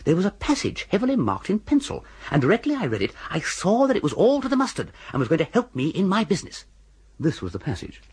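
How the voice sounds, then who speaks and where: An actor playing a breezy, dithering young English gentleman with an upper-class accent, who narrates and acts in a radio comedy. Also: high-pitched, wildly swooping pitch, fast, breathy edge, full character voice. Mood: breezy narrating. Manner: hesitant, bumbling, flippant. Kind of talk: full-cast radio comedy drama with narration.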